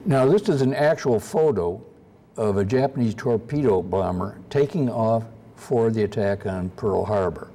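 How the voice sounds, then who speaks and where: A soft-spoken man giving a slide-show history lecture at a convention, halting often with uhs. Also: on the low side. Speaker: guest lecturer.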